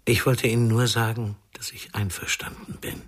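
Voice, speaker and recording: An actor playing a cool, proud informant, clipped and self-assured, in a German radio crime drama. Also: male voice, on the low side, little pitch movement, normal speed, dry recording, close to an everyday voice. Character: cool, proud, defiant underworld informant selling secrets. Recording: faint noise and background chatter.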